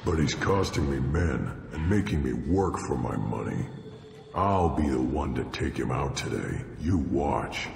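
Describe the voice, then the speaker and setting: An actor playing a gruff, boastful, brutish alien mercenary leader in a video game, curt and menacing in a gravelly growl. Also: male voice, very deep voice, animated, unhurried, a little mellow, exaggerated voice. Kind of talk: video game character acting. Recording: echoey hall reverb, music behind. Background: game music.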